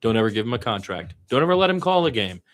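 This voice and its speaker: A man calling basketball as a partisan radio play-by-play announcer, blunt and opinionated, animated in his delivery and repeating phrases for emphasis. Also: quick pace. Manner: animated, swinging from low asides to loud high calls.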